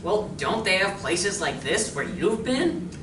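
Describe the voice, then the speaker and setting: Woman playing an eager schoolboy, lively and echoey. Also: on the low side, measured pace. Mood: matter-of-fact. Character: eager, honest, chatty schoolboy. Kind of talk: stage radio drama acting. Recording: echoey, distant, noisy live room.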